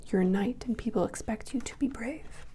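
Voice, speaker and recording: A woman voicing a huge but timid, tender-hearted male dragon from a storybook in a breathy whisper. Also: on the high side, normal speed, warm tone, pitched above the performer's own voice, lightly acted. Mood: amazed. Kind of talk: whispered storybook character reading.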